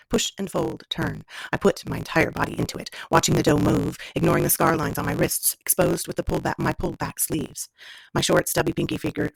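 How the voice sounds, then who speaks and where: Low, creaky woman reading as a scarred female survivor. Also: dry recording, exaggerated voice, rough recording. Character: scarred young woman survivor narrating her grim past. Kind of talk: first-person fiction read aloud.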